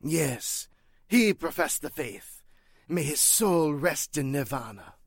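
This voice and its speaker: A man playing a pompous, evasive spiritualist leader in a 1940s-style radio drama, speaking formally and haltingly. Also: a little high, animated, vocal fry, husky, dry recording, heavily acted.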